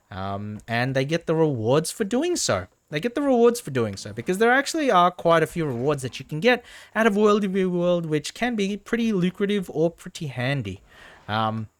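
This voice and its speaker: A man with a fairly high voice chats casually over gameplay as a streamer. His pitch moves around a great deal, and he rambles along, often saying a phrase twice. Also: no room sound. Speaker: game livestreamer. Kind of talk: casual gameplay commentary and chat.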